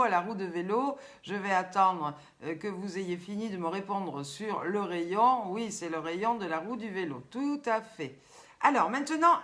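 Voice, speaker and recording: Older Southern French woman hosting. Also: a little high. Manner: lively, teasing, chatty, reads viewers' comments aloud. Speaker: host. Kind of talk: live-stream chat with viewers.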